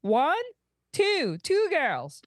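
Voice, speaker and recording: A woman's voice: high-pitched, huge pitch swings, very slow, no room sound, clean studio sound, heavily acted. A woman reading the part of an eager, boastful, easily defensive young male starship officer in a comedic table read. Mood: giddy.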